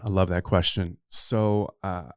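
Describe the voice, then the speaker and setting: A man's voice: low-pitched, flat delivery, a little slow, clean tone, no echo, studio quality. A middle-aged man speaking as a podcast consultant guest, answering interview questions in an earnest, rambling way with many fillers.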